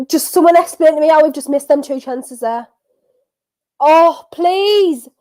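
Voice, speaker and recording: Woman streamer, softened Durham accent, higher voice, nervy and rambling, live match reaction. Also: a little slow. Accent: County Durham, softened. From North East England. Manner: anxious, excitable, rambling and self-repeating. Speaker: football watchalong streamer.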